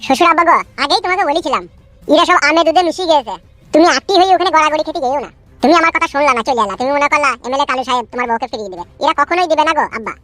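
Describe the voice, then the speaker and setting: A woman's voice: sits high, rapid-fire, a bit bright, no echo, faint music behind. A woman playing a weary, blunt young village son-in-law in a comic cartoon, nagging in a folksy way.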